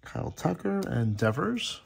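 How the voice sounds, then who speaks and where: A man with a gravelly, husky voice giving casual, chatty, appreciative running commentary as he hosts a card-opening video at a table indoors. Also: a little high, sing-song, measured pace, background noise. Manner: chatty, appreciative, reading names aloud. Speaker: host opening sports card packs.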